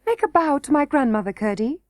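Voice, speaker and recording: A high, bright little princess, earnest and tearful, as a woman reads her. She pleads eagerly in a lifted voice. The sound is close and dry. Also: lively intonation, brisk, no echo.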